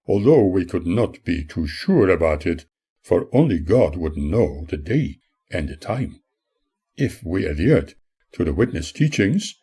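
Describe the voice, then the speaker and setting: Deep-voiced older man reading his memoir. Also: dark tone, breathy edge. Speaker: author reading own memoir. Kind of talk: scripted audiobook narration.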